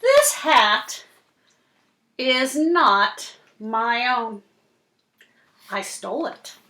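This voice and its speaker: A woman voicing a small, boastful fish thief in a picture book, confiding in a deliberate storybook delivery. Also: fairly high pitch, sharp tone, slight background noise, heavily acted. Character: small sneaky fish, boastful, self-assured hat thief. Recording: roomy, echoing indoor sound.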